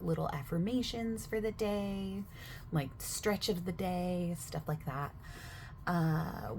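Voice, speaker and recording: A woman talks quietly and at length, overexplaining like a gym clerk in an ASMR roleplay. Her voice sits low with plenty of vocal fry, and she keeps drifting from soft speech into whispers. A faint hiss runs underneath. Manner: soft-spoken, slipping into whispers mid-sentence; chatty, overexplaining. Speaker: gym staff member in a roleplay.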